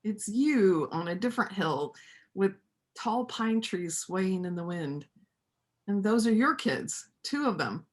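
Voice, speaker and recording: Woman acting an earnest, slow-spoken determined helper. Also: mid-range voice, animated. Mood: tender. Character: earnest, determined woman helping a fugitive, a painter of faith. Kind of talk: reader's theater stage acting.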